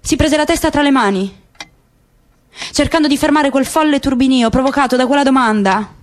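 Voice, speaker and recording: A woman narrating a literary work on the radio in a measured, expressive way. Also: high voice. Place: radio studio.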